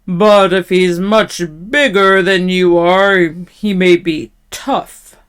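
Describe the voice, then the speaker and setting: A woman voicing a big bullying male troll from a storybook, loud and menacing, with drawn-out words. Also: fairly low pitch, steady tone, smooth. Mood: grudging, greedy. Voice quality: loud, forceful.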